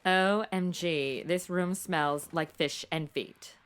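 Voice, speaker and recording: A woman in her twenties playing a brash, excitable, slangy amateur detective in a comedic audio drama. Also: on the low side, slow pace, a little hiss or hum. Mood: snarky, bold. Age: 20s. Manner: sassy, slangy, interrupting.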